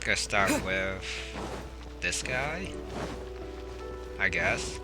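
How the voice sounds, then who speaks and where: Slow, low-key man commenting on gameplay. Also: lively intonation. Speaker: game streamer. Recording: game music behind the voice.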